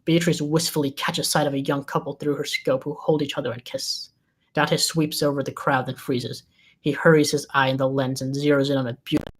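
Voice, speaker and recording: A woman's voice: very deep voice, little pitch movement, a little fast, husky. A woman reading a script's scene descriptions aloud as narrator at a table read.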